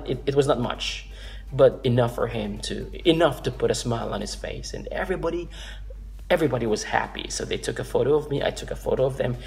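A man's voice: medium pitch, creaky, darkish tone. A man in his thirties from the Philippines earnestly telling a personal story to the camera from an office, rambling with restarts and fillers. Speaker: vlogger. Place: office room indoors.

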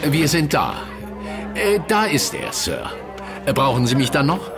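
A furtive, evasive go-between from a seedy pub in an audio drama. His clipped lines leap wildly in pitch over music. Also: wildly swooping pitch, a little slow, vocal fry, heavily acted. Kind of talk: German audio drama acting. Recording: music behind the voice.

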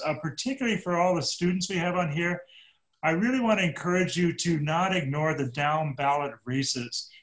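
A man's voice: on the high side, a bit bright, low-quality recording. Earnest man moderating a panel. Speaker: panel facilitator.

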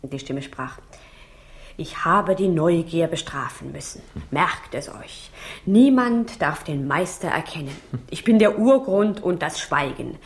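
Low-voiced, intrigued female storyteller. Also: animated, a little slow. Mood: solemn mimicry. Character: woman recounting a secret cult meeting, intrigued, wry. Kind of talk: 1960s German radio drama acting.

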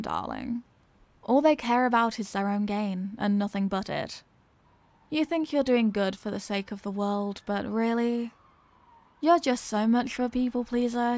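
A woman's voice: on the high side, darkish tone, no room sound. A woman voicing a dominant, mocking female villain in an ASMR roleplay, with a condescending, teasing, theatrical manner.

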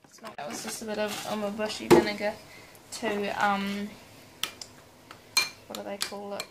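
A woman's voice: medium pitch, completely flat delivery, moderate pace. A young woman in her twenties hosting a casual home cooking vlog, chatty and playful with self-mocking asides, filmed up close in a small kitchen at night. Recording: noisy home kitchen recording. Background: loud clatter of pots, cutlery and dishes.

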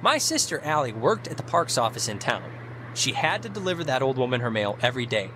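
A young American man playing a goofy, excitable, rambling teenage stoner in a video game. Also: medium pitch, a little fast, slight background noise. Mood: insistent. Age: young adult. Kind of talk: naturalistic video game dialogue. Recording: music behind the voice.

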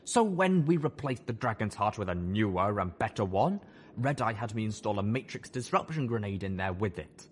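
A man's voice: fairly low pitch, big pitch swings, normal speed, slight background noise. A man voicing a glib, cheerful cyborg pony scientist in an audiobook, breezy and matter-of-fact. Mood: amused, brisk. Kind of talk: audiobook character voice.

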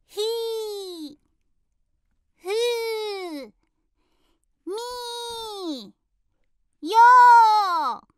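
Tiny eager kid in a radio play, super high voice, calls out slow, dry sound. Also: female voice, fairly bright tone, no echo, exaggerated voice. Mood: calling out. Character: small eager boy playing hide-and-seek as seeker. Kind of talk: Japanese radio drama acting.

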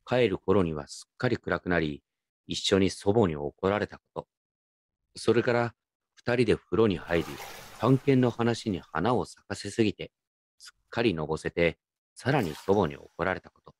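A man playing a gentle, nostalgic grown man who narrates his memories in a reflective, unhurried radio drama style. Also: low voice, animated. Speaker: narrator and lead actor. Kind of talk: first-person radio drama narration with character dialogue.

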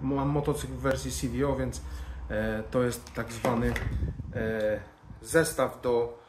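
A man's voice: medium pitch, fairly even intonation, a little slow. A man, talking casually and informally through a hands-on tutorial, often muttering to himself as he works. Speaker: motorcycle vlogger. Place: indoor garage-bar lounge room. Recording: roomy echo, background noise.

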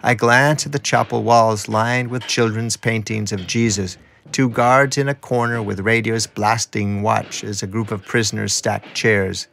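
A man's voice: fairly low pitch, fairly even intonation, a little slow, vocal fry, fairly bright tone. A man reading scripted first-person documentary narration.

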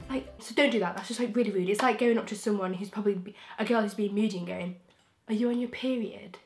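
A young woman from England, croaky from a throat infection, chatting frankly and rambling with restarts as a beauty vlogger in her bedroom. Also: medium pitch, fast, darkish tone. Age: young adult. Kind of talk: casual chatty vlog. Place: bedroom, indoors. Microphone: camera in front, close framing.

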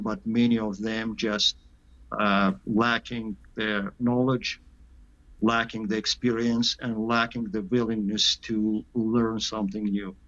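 A low-voiced man speaks slowly and haltingly, stopping often for filler sounds as he answers interview questions. His voice comes through a dull, lo-fi video call from a small indoor room. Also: fairly bright tone. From Canada. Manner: halting, many "uh" pauses, slight non-native grammar. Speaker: sniper interviewed as guest.

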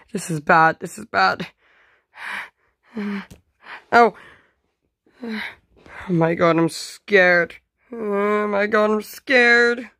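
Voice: medium pitch, very slow, long pauses, pushed and bright, no echo, voice pushed up, full character voice. Anxious dinosaur hero for a homemade story, acted by an amateur, loyal and dramatic, in a dry recording. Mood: panicked grief. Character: frightened, loyal dinosaur hero protecting friends.

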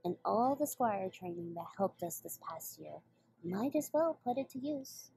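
A woman voicing a calm, dry, practical young female pony squire in a fan animation. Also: mid-range voice, moderate pace, vocal fry. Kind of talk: animated fan drama dialogue.

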